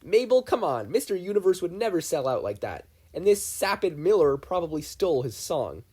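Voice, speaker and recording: Stubborn old shop guy grumbling, put on by a husky reader. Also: mid-range voice, big pitch swings, fairly quick, warm tone, pitched above the performer's own voice. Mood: blustery. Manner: dismissive, blustering. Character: gruff older man, stubborn shop owner.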